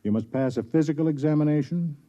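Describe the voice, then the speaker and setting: Actor as older officer on muffled vintage radio. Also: male voice, a little high, fast talker, warm tone, lightly acted. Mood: grave, explanatory. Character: self-deprecating, kindly older man, senior release officer and narrator. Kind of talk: 1950s radio acting. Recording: dull 1950s radio broadcast, music behind.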